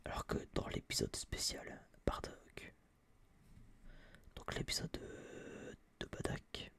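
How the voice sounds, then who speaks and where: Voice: takes it slowly, a bit bright. A person whispering a casual, rambling ASMR film review in slangy, hesitant phrases, often repeating words, close to a binaural microphone. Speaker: ASMR video creator.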